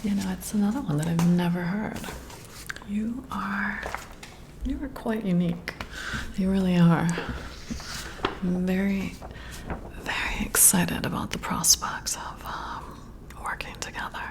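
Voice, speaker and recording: A woman's voice: mid-range voice, background noise, close to an everyday voice. A woman playing a flirty, intrigued boss giving a personality test in an ASMR roleplay, whispering breathily and admiringly, close to the mic. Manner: slow, flirty, admiring, softly hesitant.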